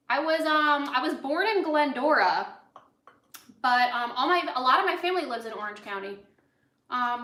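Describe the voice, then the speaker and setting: Bright, chatty American woman in an echoey room. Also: fairly high pitch. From United States, grew up in Los Angeles. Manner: chatty, rambling, often drifting into asides. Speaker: host of a cooking livestream, chef. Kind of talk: casual livestream chat while cooking. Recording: roomy, echoey indoor sound.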